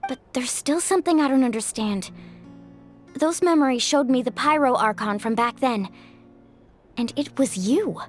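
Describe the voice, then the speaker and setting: A woman's voice: high voice, moderate pace, natural voice. A woman playing an earnest, thoughtful young hero in a video game, reflective and a little hesitant. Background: game music.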